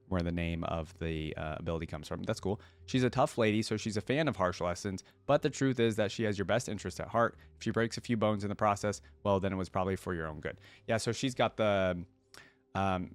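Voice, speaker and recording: Low-voiced man doing casual reaction commentary. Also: dry recording. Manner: informal, conversational asides. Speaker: YouTube reaction host. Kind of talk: casual reaction commentary, reading aloud with asides.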